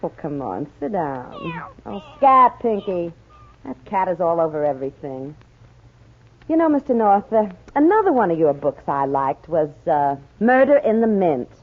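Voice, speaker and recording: Tinny old radio drama, slangy nightclub dame, lowish voice, very lively pitch, brassy, forward. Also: female voice, unhurried. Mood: breezy, inviting. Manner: slangy, casual, ungrammatical. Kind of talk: 1940s radio acting. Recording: tinny, band-limited old radio recording.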